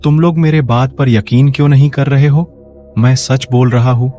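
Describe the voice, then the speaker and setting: A man's voice: mid-range voice, steady tone, moderate pace, warm tone, faint music behind, close to an everyday voice. A man voicing an alarmed, pleading village man in a cartoon story. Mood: insistent.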